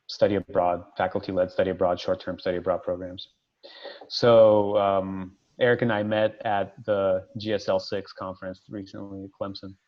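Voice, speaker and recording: A man from Ukraine who grew up in Colorado, speaking casually and a little hesitantly as a webinar participant. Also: on the low side. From born in Ukraine, grew up in Colorado from age nine. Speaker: webinar participant, study abroad company owner. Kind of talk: casual introduction in a discussion. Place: remote online call. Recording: dull lo-fi online call audio.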